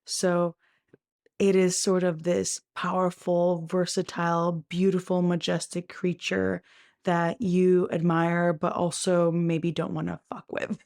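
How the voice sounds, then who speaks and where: A woman with a lowish voice and plenty of vocal fry hosts a motivational podcast. She talks in an earnest, encouraging way and often drops in a "right?" as she goes. She is recorded dry and close on the mic. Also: completely flat delivery, slow pace, a little mellow, dry recording. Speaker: podcast host.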